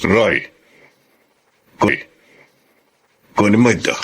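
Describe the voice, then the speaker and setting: A man playing a sincere, tender older uncle in a radio drama, with a Filipino accent and a husky, creaky voice. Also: lowish voice, extremely slow, fairly bright tone, slight background noise, full character voice. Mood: insistent.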